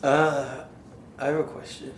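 A man's voice: mid-range voice, level tone, a little slow, natural voice. A man playing a concerned, insistent friend in a naturalistic horror audio drama, conversational and earnest. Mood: curious, hesitant. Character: Steve, Nate's concerned, insistent drinking buddy. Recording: echoey room with noisy ambience.

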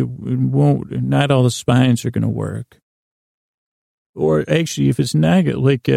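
A man with a creaky, gravelly voice hosting a bedtime podcast, rambling in a halting, self-deprecating, digressive way. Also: medium pitch, dark tone, dry recording, clean studio sound. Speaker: podcast host. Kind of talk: rambling bedtime-story podcast intro monologue.

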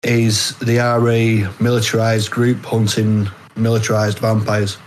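A man from the north of England with a northern English accent, answering interview questions casually and haltingly as a guest. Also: on the low side, level tone, slight background noise. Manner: hesitant, halting.

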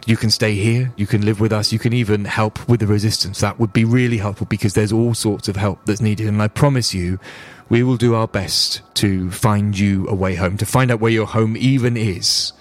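Guy doing a calm tough resistance lady, earnest, low voice. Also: moderate pace, few pauses, natural voice. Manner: earnest, reassuring. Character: strong, calm human woman resistance leader.

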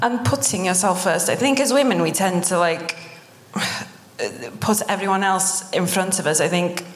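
A woman with a Welsh accent, in her late twenties, talks earnestly and quickly in a low voice. Welsh is her first language, and she laughs often between points. Her voice rings out with a long reverb, recorded live in a roomy hall. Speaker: guest, podcaster. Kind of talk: live podcast conversation. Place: live stage before an audience in a concert hall.

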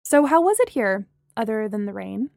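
A woman's voice: medium pitch, very animated, moderate pace, vocal fry, darkish tone, no echo. Self-doubting young female lead read by a woman narrator, audiobook romance, plain and hesitant, dry sound. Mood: conversational. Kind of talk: acted audiobook dialogue.

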